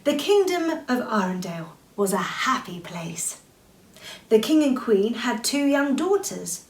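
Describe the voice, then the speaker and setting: A woman reading a children's bedtime story expressively, drawing out words for dramatic emphasis. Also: fairly high pitch. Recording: roomy echo, distant from the mic.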